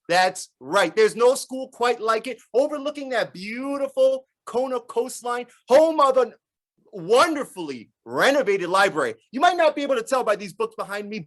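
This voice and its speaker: Lively, playful event host. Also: mid-range voice. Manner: lively, playful, hypes the audience. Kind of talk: upbeat event presenting. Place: school library.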